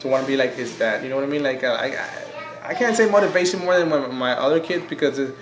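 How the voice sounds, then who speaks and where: A man's voice: medium pitch, animated, fast, over music, poor audio. A man in his thirties with a Mexican-American accent, talking in a documentary interview about his fighting career in a rambling, repetitive way with many fillers. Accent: Mexican-American English. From US-Mexico border region.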